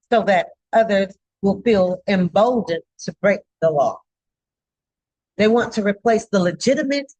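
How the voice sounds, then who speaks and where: A woman delivering a formal scripted public address in a stern, solemn, emphatic manner, with halting phrasing. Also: medium pitch, darkish tone, breathy edge, no echo, poor audio. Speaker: judge giving a public address.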